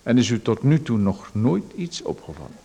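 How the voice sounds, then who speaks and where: A creepy, patronizing official. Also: male voice, medium pitch, big pitch swings, normal speed, creaky, mellow, breathy edge, exaggerated voice. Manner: condescending, coaxing then threatening. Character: menacing male official, smooth and patronizing. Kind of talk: radio drama acting.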